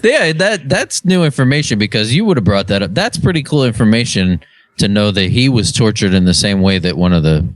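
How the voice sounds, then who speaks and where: A man's voice: fairly low pitch, sing-song, a little fast, no room sound. A man co-hosting a podcast in casual, playful, reactive banter. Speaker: podcast co-host.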